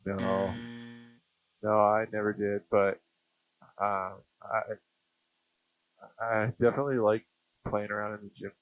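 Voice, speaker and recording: A man's voice: on the low side, level tone, measured pace, long pauses, no room sound, narrow, tinny audio. A Canadian man chatting casually as an interview guest, informal and slangy. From Saskatchewan, Canada. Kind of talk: casual chat. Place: small aircraft cockpit.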